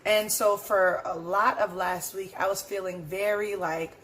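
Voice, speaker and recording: A woman talks through her thoughts on her own podcast, her voice hoarse and roughened. She rambles in an earnest, unhurried way, circling back to her points with plenty of fillers. Her pitch sits at an ordinary middle level, and faint background noise hums under the recording. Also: steady tone, a bit bright. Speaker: podcast host. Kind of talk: casual solo reflection.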